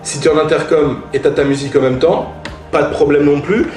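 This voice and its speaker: A man's voice: a little high, fairly even intonation, a little fast, a little mellow, echoey room, soft background music. A man chatting informally to viewers as he reviews gear, slangy and emphatic, repeating points for effect. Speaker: motorcycle vlogger reviewing gear. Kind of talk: casual product review talk to viewers.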